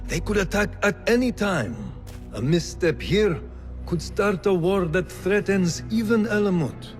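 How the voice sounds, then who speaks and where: Lively-pitched, grave assassin mentor. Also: male voice, unhurried, soft background music. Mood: cautioning. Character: Fuladh, grave, cautious male assassin mentor. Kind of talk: dramatic video game acting.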